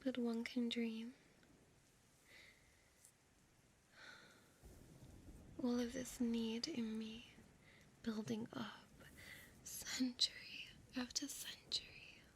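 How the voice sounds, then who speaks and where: A seductive, ancient vampire woman, voiced by a woman, whispers slowly and breathily, tender yet possessive. Her fairly high voice stays hushed and close in an ASMR-style audio roleplay, with faint ambient noise behind it. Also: level tone. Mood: wistful longing.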